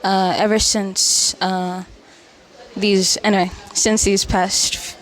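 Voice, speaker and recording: Woman, interviewer and narrator, unhurried, deliberate reads. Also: medium pitch, flat delivery, a little hiss or hum, low-quality recording. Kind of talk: slow scripted narration and interview questions.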